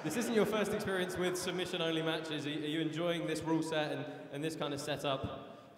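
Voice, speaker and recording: A man's voice: a little high, steady tone, fairly quick. Echoey arena announcer, man. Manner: announcer-style, projecting to the crowd. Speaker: interviewer and commentator. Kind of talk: ringside interviews and live commentary. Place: competition arena. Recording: echoey hall with crowd and noise.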